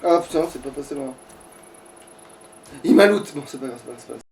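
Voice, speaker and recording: A man's voice: a little high, lively intonation, fairly quick, a little mellow. A man commenting casually on his game with a friend, grumbling and swearing freely. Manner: foul-mouthed, grumbling. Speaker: let's player. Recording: distant mic, faint background noise.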